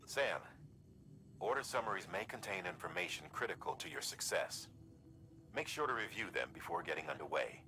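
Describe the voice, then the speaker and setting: An actor playing a middle-aged male commander in a video game, giving measured, instructive mission briefings. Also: low-pitched, big pitch swings, distant mic, noisy background.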